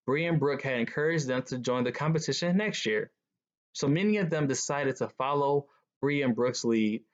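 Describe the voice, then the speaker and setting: A man's voice: mid-range voice, normal speed. Man reading a children's story, dull recording. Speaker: guest storybook reader. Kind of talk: children's picture book read-aloud. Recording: dull, lo-fi sound.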